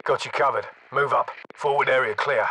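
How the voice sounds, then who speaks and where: A man voicing a calm soldier. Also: mid-range voice, moderate pace, slight background noise, natural voice, rough recording. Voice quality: clipped radio callouts. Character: male British soldier, calm and clipped. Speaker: special forces soldier. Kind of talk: video game voice lines.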